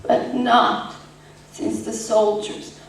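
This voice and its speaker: A woman playing a haunted, traumatised war survivor in a poetic stage monologue, with halting, fragmented phrasing. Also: a little high, little pitch movement. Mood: haunted, subdued. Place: echoey indoor performance space, distant mic. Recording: echoey hall from far off, faint noise.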